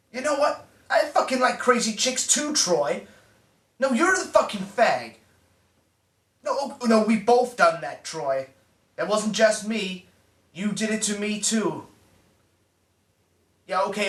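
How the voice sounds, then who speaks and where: A loud, boorish, swaggering young American bro, played by a man, his voice pitched up high. It sounds roomy and echoey, as though he is standing back from the mic. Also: far from the mic. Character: brash crude young American man, president's son. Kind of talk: mockumentary comedy acting.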